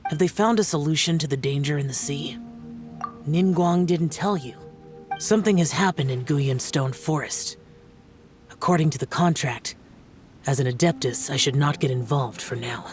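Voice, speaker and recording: A man's voice: a little high, close to an everyday voice. Aloof immortal guardian played by a man. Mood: solemn, resolute. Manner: formal, measured, terse. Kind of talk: video game voice acting. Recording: faint music behind the voice.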